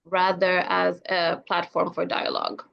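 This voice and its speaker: Zambian-born woman filmmaker, earnest and animated. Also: fairly low pitch, measured pace, creaky. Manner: earnest, animated when quoting others. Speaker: filmmaker guest. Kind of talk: podcast interview. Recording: slightly dull lo-fi audio.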